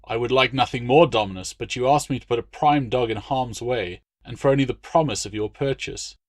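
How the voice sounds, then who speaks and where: A man's voice: mid-range voice, fairly quick, no room sound, lightly acted. A man voicing a wary, fawning dog breeder in an audiobook, with an obsequious salesman's manner. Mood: wary, deferential. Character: wary, fawning male dog breeder hawking fighting hounds. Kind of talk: audiobook character dialogue.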